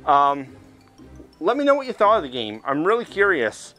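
Higher-voiced man chatting through VR gameplay. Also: huge pitch swings, moderate pace, bright, forceful tone. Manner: chatty, thinking aloud while playing. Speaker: gaming video host. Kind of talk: casual gameplay commentary. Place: indoors, playing in a VR headset in front of a camera. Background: game music.

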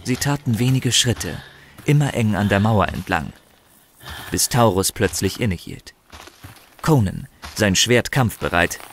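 A man narrating a scripted fantasy story dramatically, with measured, suspenseful phrasing and pauses. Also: fairly low pitch. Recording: slight background noise.

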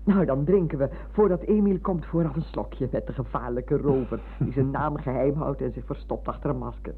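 A woman playing a chatty, motherly, bossy old schoolmistress in a radio drama, with a husky voice and a habit of repeating herself. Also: a bass voice, moderate pace, slight background noise. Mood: playfully scolding. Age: older. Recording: muffled, narrow-band old radio recording.

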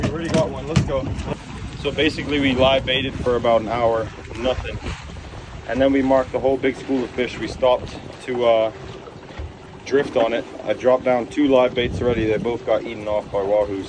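Young dude hyped up fishing vlog on a boat, phone cam, wind and engine noise blasting. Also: male voice, mid-range voice. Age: young adult. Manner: excited, swears casually, calls people bro. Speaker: fishing vlogger. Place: open boat at sea, outdoors. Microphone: handheld phone camera.

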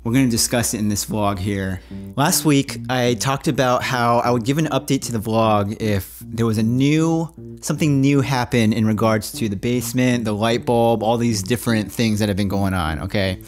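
A man's voice: mid-range voice, moderate pace, soft background music. A man in his thirties chatting casually in a weekly vlog, calling his viewers "my friends", recorded on a handheld mic in a small apartment. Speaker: vlogger. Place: small apartment room, indoors. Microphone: handheld shotgun mic on boom cable.

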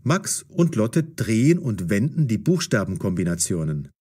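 A man narrating a scripted story for language learners in a deliberate, carefully enunciated, dramatic storytelling style. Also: lowish voice, sing-song, dark tone, breathy edge.

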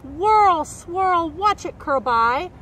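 A middle-aged woman reading a picture book aloud to young children in an expressive, carefully enunciated way, recorded outdoors. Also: extremely high pitch, extremely slow. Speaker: storybook reader and nature educator. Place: outdoors by a woodland creek. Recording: outdoor background noise.

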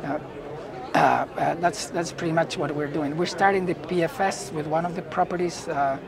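A middle-aged man from Chile with a Chilean Spanish accent, answering interview questions as a company executive in halting phrases. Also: on the high side, steady tone, creaky. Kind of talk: unscripted business interview. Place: indoor booth at a trade conference. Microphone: lapel mic. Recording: busy event hall chatter behind.

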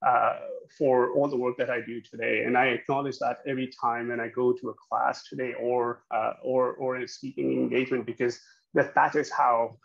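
A man's voice: medium pitch, level tone, normal speed, creaky. Guy giving a webinar talk over a video call, lots of ums and restarts, muffled lo-fi audio. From Pakistan. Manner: hesitant, many fillers and restarts. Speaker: guest speaker, accounting educator. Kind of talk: webinar presentation. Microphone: video call webcam.

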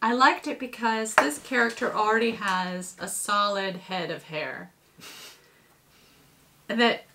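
A woman's voice: medium pitch, slow pace, noisy background. A woman in her thirties presenting casually with playful, theatrical emphasis in a home kitchen. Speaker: puppeteer presenter. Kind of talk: casual explainer.

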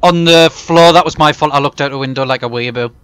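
A young man, chatting excitably and teasingly with friends while gaming. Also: fairly high pitch, fast talker, clear, resonant tone. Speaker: gamer. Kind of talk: casual gaming chat.

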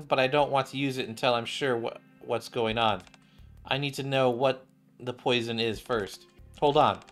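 A man's voice: medium pitch, a bit bright, close to an everyday voice. A man voicing a concerned, composed woman doctor from a game. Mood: worried.

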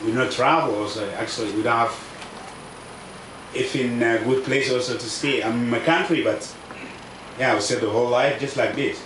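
Man from South Sudan, non-native English, halting, many fillers, outdoor echo, noisy. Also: mid-range voice, a bit bright. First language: a South Sudanese language. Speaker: refugee speaker. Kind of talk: personal testimony at a public event. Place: outdoors under a tent canopy at a camp. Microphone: table microphone on stand. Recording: echoey, distant outdoor recording with noise.

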